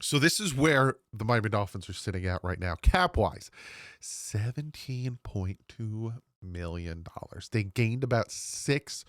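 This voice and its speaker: A man in his thirties with a lowish voice, thick and nasal from a head cold. He chats to his viewers in a loose, rambling way with quick asides. The sound is dry and close on a desk microphone. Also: animated, no room sound. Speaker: sports channel host. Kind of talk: casual solo sports commentary. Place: home room.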